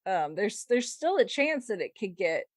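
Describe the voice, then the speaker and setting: A woman chatting casually about films as a podcast panel host, often halting and trailing off mid-sentence. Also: mid-range voice, very animated, no room sound, clean studio sound. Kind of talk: casual film discussion.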